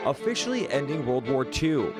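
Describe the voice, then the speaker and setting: An American man reads a scripted TV news story with a lively, varied pitch. His voice sits in a middle register, with prominent music playing behind it. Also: moderate pace. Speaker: TV news reporter. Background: background music.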